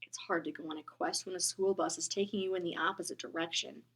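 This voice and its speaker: A woman reading a children's book aloud, gentle and expressive, often dropping into a whisper. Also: lowish voice, quick pace, husky. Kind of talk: storybook read-aloud.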